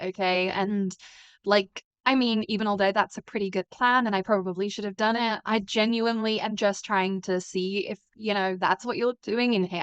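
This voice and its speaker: A taunting, self-satisfied rival schoolgirl, voiced by a woman in a web drama. She drawls sardonically in a smooth, mid-pitched voice, recorded dry and close. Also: no echo, natural voice. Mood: insistent, exasperated. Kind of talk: voice-acted series dialogue.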